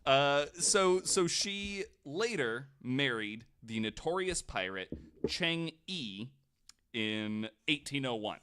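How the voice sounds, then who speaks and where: A man's voice: mid-range voice, animated, takes it slowly, a bit bright, smooth. A man hosting a podcast, telling history stories in casual, jokey chat. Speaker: podcast host.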